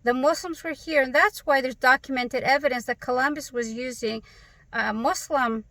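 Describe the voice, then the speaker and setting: A woman's voice: high-pitched, normal speed, sharp tone, dry recording. A woman vlogger answering viewer questions to camera in an earnest, emphatic way, often posing rhetorical questions. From Canada. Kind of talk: direct-to-camera Q&A monologue.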